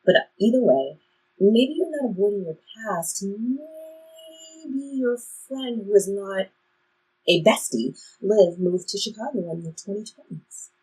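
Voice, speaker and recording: A woman's voice: medium pitch, expressive, slow pace, mellow, breathy edge, exaggerated voice, poor audio. A woman playing a cautious, wry time-traveling guide who instructs, in a stage play reading.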